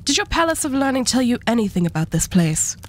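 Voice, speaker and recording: Lady doing an asmr roleplay arch devil woman, haughty collector of relics, slow polite menace, talks down to you. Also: on the high side, sing-song. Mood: coolly menacing. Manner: condescending, unhurried, politely menacing. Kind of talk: scripted ASMR roleplay acting.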